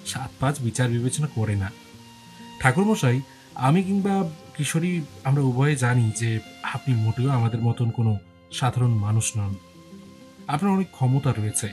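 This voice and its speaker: A man's voice: mid-range voice, darkish tone. Male dramatic story narrator over prominent music. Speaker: first-person narrator.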